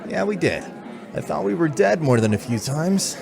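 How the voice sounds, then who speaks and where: A man's voice: on the high side, sing-song, moderate pace, vocal fry, crowd noise. A man playing an earnest, good-hearted young soldier in a fantasy audio drama. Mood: weary, earnest. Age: young adult. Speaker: voice actor.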